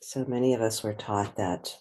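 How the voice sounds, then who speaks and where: A woman leading a guided meditation, speaking gently and without hurry, leaving long pauses between phrases. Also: rumbling low, steady tone. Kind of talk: guided meditation, slow and spoken softly.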